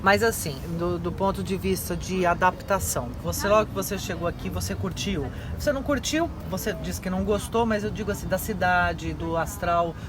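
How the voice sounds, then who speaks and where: A woman's voice: lowish voice, a little fast, hardly any pauses. A woman from Brazil with a Brazilian accent, interviewing informally with casual prompting questions. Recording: noisy outdoor urban street ambience.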